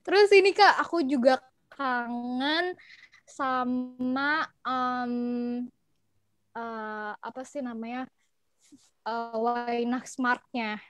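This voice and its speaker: A young woman with an Indonesian accent chatting casually as an interview guest, expressive and giggly, mixing in English and German words. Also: high-pitched, extremely slow, long pauses, clear, resonant tone, dry recording. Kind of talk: casual chat.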